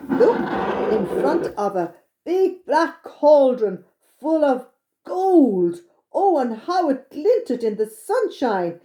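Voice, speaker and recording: A woman's voice: mid-range voice, mellow. A woman with an Irish accent narrating a children's storytime expressively, exclaiming and repeating things for young listeners.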